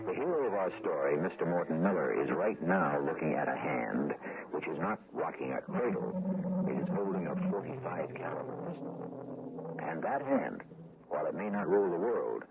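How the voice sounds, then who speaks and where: A man hosts and narrates a radio mystery in a very deep, gravelly voice, with a theatrical and sardonic delivery. His pitch rises and falls widely. Loud music plays under a dull, muffled broadcast sound. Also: background noise. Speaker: narrator and host.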